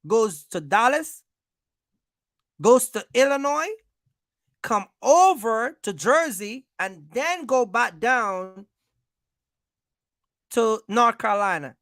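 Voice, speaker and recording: Bright, creaky American livestream coach. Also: mid-range voice, animated, takes it slowly, long pauses, no echo, studio quality. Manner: emphatic, repetitive, checks in with listeners. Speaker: trucking business coach and livestream host. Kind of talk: informal livestream advice talk.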